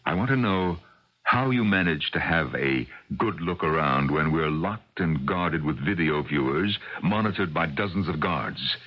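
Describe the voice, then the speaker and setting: A man's voice: a bass voice, expressive, measured pace, heavily acted. An actor playing a genial, skeptical middle-aged scientist and father in a vintage radio drama. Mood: probing, mocking. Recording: dull vintage AM radio recording.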